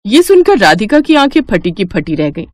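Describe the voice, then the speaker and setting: A woman narrating a cartoon story in a steady, matter-of-fact way. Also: mid-range voice, moderate pace, dry recording, clean studio sound.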